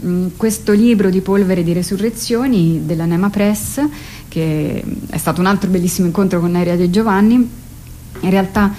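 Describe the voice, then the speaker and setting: A woman talking casually as a radio interview guest, halting and searching for words with drawn-out hesitations. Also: on the low side, warm tone, slight background noise. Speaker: interview guest, theatre artist and writer. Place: radio studio.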